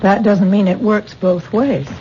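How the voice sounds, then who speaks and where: A dull, faintly noisy old radio broadcast of a woman acting a no-nonsense household skeptic. Her medium-pitched voice is brisk, dry and reproving. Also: warm tone, natural voice. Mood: skeptical, reproving. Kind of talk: 1970s radio drama acting.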